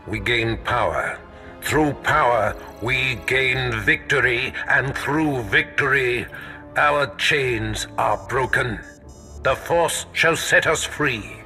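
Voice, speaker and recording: An actor playing a haughty, menacing Sith master in a video game, measured and commanding, as if lecturing a pupil. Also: male voice, mid-range voice, sharp tone, full character voice. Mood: solemn, fervent. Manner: measured, imperious, lecturing delivery. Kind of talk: video game cutscene voice acting.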